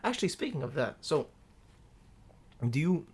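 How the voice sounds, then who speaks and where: A man's voice: on the high side, sing-song, husky. Teasing young man hosting a podcast. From Macau. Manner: teasing, inquisitive, quick follow-up questions. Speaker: podcast host. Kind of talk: casual interview chat.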